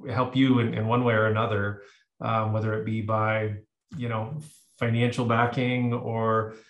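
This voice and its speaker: A man hosting a club meeting, wrapping up casually with a relaxed, friendly manner and some fillers. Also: fairly low pitch, measured pace, highs cut off. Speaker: meeting host. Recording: echoey room.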